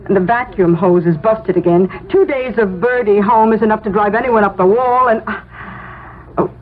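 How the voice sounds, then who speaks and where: A woman's voice: mid-range voice, moderate pace, close to an everyday voice. An actress playing a weary, fussing suburban wife and mother, complaining and probing about money in a 1970s radio drama. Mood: fretful, complaining. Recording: tinny, slightly noisy 1970s radio.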